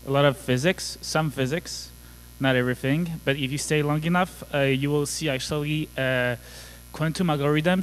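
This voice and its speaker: A man's voice: a little high, rough recording. A man in his thirties from France with a French accent, giving a joking, casual conference talk full of fillers. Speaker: conference speaker. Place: conference hall.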